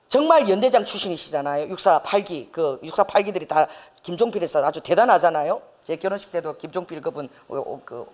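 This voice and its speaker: A woman pastor from Busan preaching, telling personal stories in an animated way and laughing often. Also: medium pitch. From Busan, South Korea. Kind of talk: sermon.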